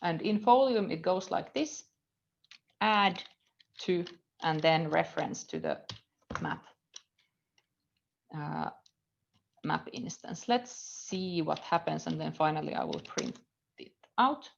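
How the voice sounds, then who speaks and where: A woman's voice: low-pitched, very animated, slow, creaky, pauses often, muffled recording, slight background noise. A woman in her thirties with a Finnish accent, a university lecturer teaching a live coding lesson, thinking aloud with frequent fillers and trailing off as she reads code. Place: indoor room at a computer.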